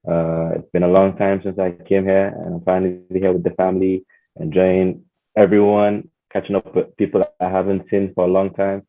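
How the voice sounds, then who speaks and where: A man's voice: low-pitched, completely flat delivery, normal speed. A man from Nigeria whose first language is Hausa, a podcast guest chatting casually with many fillers and restarts. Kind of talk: casual chat. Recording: tinny phone-like sound.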